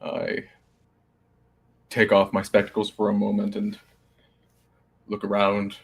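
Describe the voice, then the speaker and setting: Slow, low-voiced man playing a tabletop character. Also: monotone, slightly rough. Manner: unhurried, halting in-character speech. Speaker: player.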